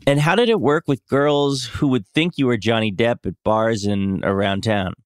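A man's voice: medium pitch, moderate pace, smooth, no echo, soft background music. A man hosting a podcast, reading scripted narration in an upbeat, wry way and asking interview questions. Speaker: podcast host.

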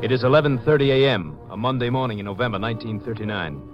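A man playing the measured, matter-of-fact narrator of an old-time radio crime drama, with an American accent. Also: medium pitch, natural voice. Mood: sober, measured. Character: sober male narrator of a police case reenactment. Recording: muffled old radio with music behind.

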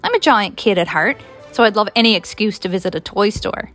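Lady doing a playful big kid of a guy from a story, newfoundland accent, casual, bright voice. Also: medium pitch, expressive, fairly quick, faint music behind, lightly acted. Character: playful, stubborn young man, big kid at heart.

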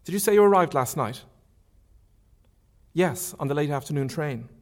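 Here is a forthright young woman, brisk and teasing, as a male author reads her lines aloud. Her voice sits well above his own and swoops up on questions, with lively, emphatic rises and falls. She talks in quick, pointed bursts. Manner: lilting, raised pitch, emphatic questions. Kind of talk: author reading a novel aloud.

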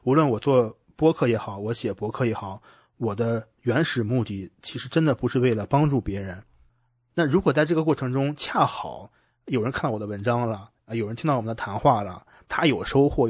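A man's voice: mid-range voice, fairly quick, slightly rough, dry recording, studio quality. A man hosting a casual podcast conversation, explaining his thoughts in a reflective way. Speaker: podcast host.

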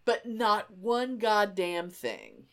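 A woman's voice: medium pitch, drawn-out words. A woman co-hosting a casual podcast chat, telling stories in an emphatic, sweary way. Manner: animated, emphatic, sweary storyteller. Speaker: podcast co-host.

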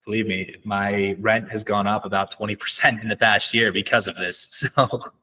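A man's voice: fairly low pitch, steady tone, normal speed, pushed and bright, slight background noise, poor audio. A man speaking as an entrepreneur guest in a casual interview, explaining things in a conversational way.

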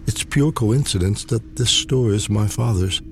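A man reading a literary poem aloud with measured, deliberate phrasing. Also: lowish voice, a little hiss or hum. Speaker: poetry reader.

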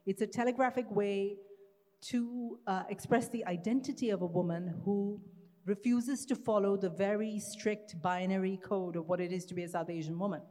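A woman's voice: mid-range voice, fairly even intonation, normal speed, warm tone. A woman moderating a live panel, introducing guests and asking questions in an upbeat way. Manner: upbeat, laughs at her own stumbles. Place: live event hall with audience. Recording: reverberant hall.

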